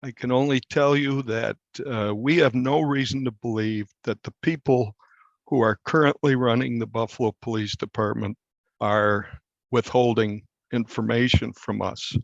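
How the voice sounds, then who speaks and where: A male reporter answers interview questions with deliberate, halting phrasing. His voice is creaky and gravelly at an average pitch, and the recording is dry and somewhat muffled. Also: fairly even intonation, no echo. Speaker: newspaper reporter being interviewed. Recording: dull, slightly muffled lo-fi sound.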